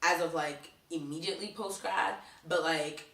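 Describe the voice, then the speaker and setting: A woman's voice: sits low, steady tone, normal speed, vocal fry, fairly bright tone, echoey room, distant mic, poor audio. A young woman vlogging casually to camera, chatting and leaning on "like" in nearly every sentence. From Baltimore, USA. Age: young adult. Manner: chatty, leans on "like" constantly.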